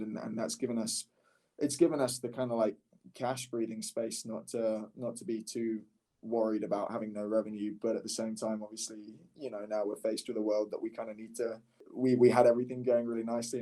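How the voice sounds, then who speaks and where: A man's voice: on the low side, warm tone, low-quality recording. A man speaking casually as a podcast guest and startup founder, candid and often rephrasing mid-sentence. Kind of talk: casual conversational podcast interview.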